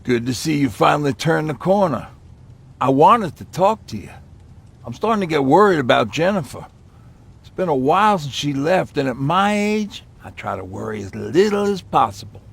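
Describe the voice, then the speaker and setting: A weathered old man in a game drama. His voice is deeply creaky and husky, with an easygoing, folksy delivery. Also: sing-song, moderate pace, exaggerated voice. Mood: concerned. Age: older. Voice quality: gravelly, husky. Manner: folksy, reminiscing. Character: weathered, easygoing old male survivor and mechanic. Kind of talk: game voice acting, conversational.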